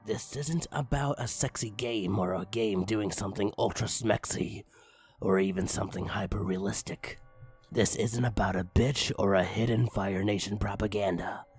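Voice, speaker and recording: Husky man reading a mock-serious story over music. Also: on the low side, a little mellow. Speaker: narrator reading a parody creepypasta story aloud. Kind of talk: comedic dramatic storytelling. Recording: music bed under the voice. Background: background music.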